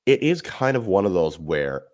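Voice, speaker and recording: A man's voice: sits low, moderate pace, no echo, clean studio sound. A man as podcast guest talking through sports in casual chat, rambling and correcting himself as he goes. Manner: animated, rambling analysis with self-corrections.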